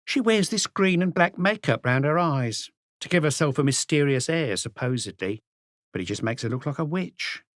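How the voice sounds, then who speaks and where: Brash plump café landlady, voiced by a male narrator, high and swooping, scornful gossip, dry close read. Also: animated, normal speed, no echo, close to an everyday voice. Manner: chattering, scornful, indignant. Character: plump middle-aged woman café owner, brash gossip.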